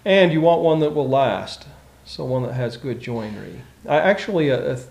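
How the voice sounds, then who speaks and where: A man, a craftsman, explaining his work informally and haltingly, searching for words. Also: mid-range voice, animated, vocal fry, a little mellow. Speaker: craftsman furniture maker. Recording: roomy, slightly distant, faint noise.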